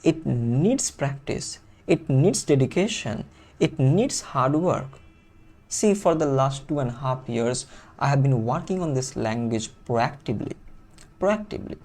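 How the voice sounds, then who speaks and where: A man's voice: mid-range voice, animated, a little slow, a little mellow, a little hiss or hum. A man with a Bengali accent, a native Bengali speaker, coaching viewers on English fluency in an earnest teaching monologue that repeats key phrases for emphasis.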